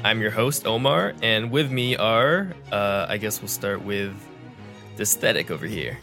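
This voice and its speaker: A young man hosts a casual gaming podcast with a bright, forceful voice pitched a little low. He talks with energetic emphasis and a sarcastic edge. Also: a little slow, soft background music. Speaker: podcast host.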